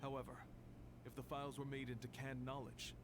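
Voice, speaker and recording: An actor playing a grave, weary archive official in a video game, speaking in a measured, serious, explanatory way. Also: male voice, medium pitch, little pitch movement, close to an everyday voice. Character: grave, weary male archive official recounting past events. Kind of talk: video game voice acting, expository dialogue. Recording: noisy background on game stream.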